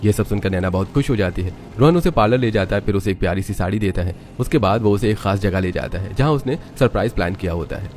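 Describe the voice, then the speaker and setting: A man's voice: fairly low pitch, quick pace, few pauses, darkish tone. A man reading scripted narration for a moral family story.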